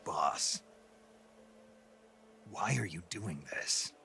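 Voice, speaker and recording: An actor playing a terse, gruff soldier in a game cutscene. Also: male voice, sits low, animated, very slow, bright, forceful tone, soft background music, exaggerated voice. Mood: troubled.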